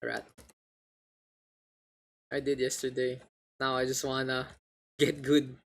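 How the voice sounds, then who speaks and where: A man with a gravelly voice hosting an esports livestream, mixing casual slangy chat and game commentary. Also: medium pitch, completely flat delivery, slow pace. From Philippines. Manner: informal, gamer slang, joking asides. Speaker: esports caster, streamer hosting the match. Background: video game sounds and announcer.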